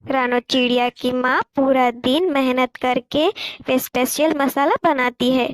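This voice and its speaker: A woman's voice: high-pitched, fairly even intonation, a little slow, no room sound. A woman reading scripted narration for a children's cartoon story.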